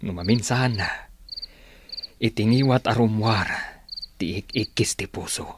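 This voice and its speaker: A man with an Ilocano accent acting a soft-hearted, protective older village father in a drama. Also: on the low side, slow, noisy background. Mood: resigned.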